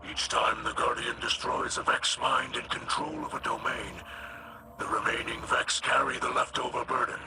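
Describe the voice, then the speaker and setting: A man voicing a solemn, patient alien leader in a video game, with a breathy, rasping voice and formal, measured phrasing. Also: medium pitch, fairly even intonation, background noise, lightly acted. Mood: grave, patient. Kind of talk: video game cutscene acting.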